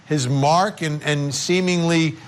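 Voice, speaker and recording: A man hosting a live legal news interview, speaking in emphatic, deliberate phrases. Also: on the high side, steady tone. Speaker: TV news host.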